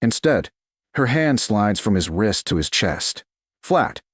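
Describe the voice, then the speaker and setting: A man narrating scripted romance fiction slowly and dramatically, in measured, deliberate phrases. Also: lowish voice, very animated, slightly rough, no room sound, studio quality.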